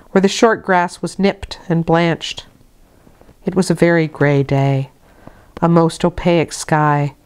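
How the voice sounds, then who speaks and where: Smooth, low-voiced woman reading a classic novel. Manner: measured, deliberate phrasing. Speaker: audiobook reader. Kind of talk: expressive literary storybook narration.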